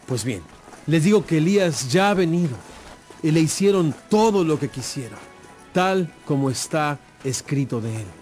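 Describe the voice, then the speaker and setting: Male actor as earnest holy teacher, fairly high voice, authoritative, declamatory, audio Bible drama, soft music underneath. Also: expressive, normal speed, close to an everyday voice. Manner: solemn, emphatic, declamatory.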